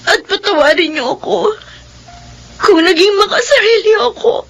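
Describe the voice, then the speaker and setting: An actress playing an emotional, remorseful, lovesick daughter in a Filipino radio drama, with a tearful, melodramatic delivery. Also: female voice, extremely high pitch, moderate pace. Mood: sobbing apology. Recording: music bed under the voice.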